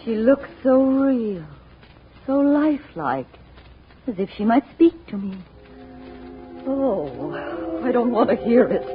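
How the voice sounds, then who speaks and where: Anxious, high-voiced mother, old radio. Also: big pitch swings, takes it slowly, long pauses, faint music behind. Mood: awed dread. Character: anxious, frightened mother searching a dark tomb. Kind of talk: 1940s radio acting. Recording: tinny, narrow old radio recording.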